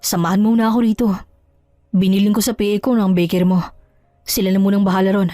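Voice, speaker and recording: A woman voicing an earnest, forgiving male friend who gently persuades in an audio drama. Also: medium pitch, level tone, fast talker, dry recording, studio quality, faint music behind, close to an everyday voice. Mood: coaxing. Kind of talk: Tagalog audio drama acting.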